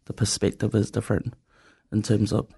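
A man's voice: on the low side, completely flat delivery, a little fast, creaky, mellow, breathy edge. Easygoing New radio host, man. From New Zealand. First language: Samoan. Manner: thoughtful, easygoing, hesitant. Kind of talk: casual radio chat. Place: community radio studio.

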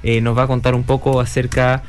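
Chilean guy co-hosting a radio show, jokey, laughs easy, soft music underneath. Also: medium pitch, monotone, fairly quick. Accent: Chilean Spanish. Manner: joking, laughs easily. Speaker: radio co-host. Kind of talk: casual chat.

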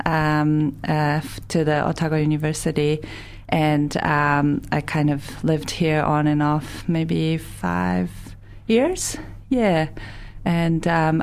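A woman from Japan with a Japanese accent, answering interview questions in hesitant, halting phrases. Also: low voice, gravelly, darkish tone. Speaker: interview guest, volunteer organisation administrator. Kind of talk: conversational interview.

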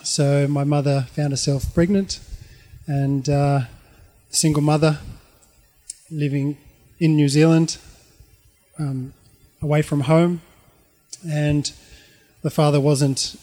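A middle-aged man with an Australian accent tells his life story informally, in a halting, reflective way. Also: on the high side, flat delivery, dark tone, soft background music.

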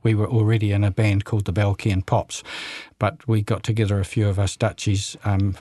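A man's voice: lowish voice, little pitch movement, a little fast, dark tone, no room sound. A man around seventy from New Zealand, a radio guest talking casually with many fillers and restarts.

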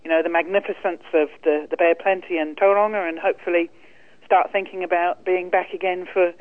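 A woman's voice: on the low side, steady tone, a little fast, no echo. Phone-in guest: upbeat New woman, tinny dry line. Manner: upbeat, chatty, self-mocking humour. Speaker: bridge event organiser calling in. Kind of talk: cheerful phone interview. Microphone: telephone.

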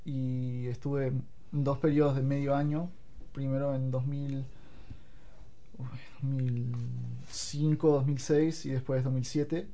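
A young man of eighteen with a Buenos Aires accent answers interview questions in a modest, measured way. His voice is mellow and relaxed, sitting at an ordinary pitch for a man. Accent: Buenos Aires Argentine Spanish. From Argentina. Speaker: interview guest. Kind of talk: radio interview.

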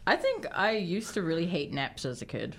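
A woman's voice: fairly low pitch, wildly swooping pitch, moderate pace, fairly bright tone, slightly rough. A young woman co-hosting a radio show, chatting casually and teasing the others. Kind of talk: casual banter.

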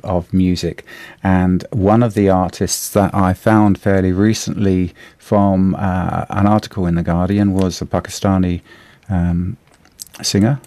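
Halting New Zealand man, low dry voice. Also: darkish tone, no echo. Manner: halting, lots of fillers and restarts. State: a bit hoarse. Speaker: radio host. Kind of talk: casual radio chat reviewing the newspaper.

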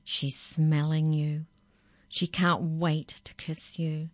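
A woman's voice: deep voice, fairly even intonation, measured pace, a little mellow, dry recording. Wicked little brother figure, whispered, storybook style, woman reading. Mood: teasing. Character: teasing older brother, sly and whispery.

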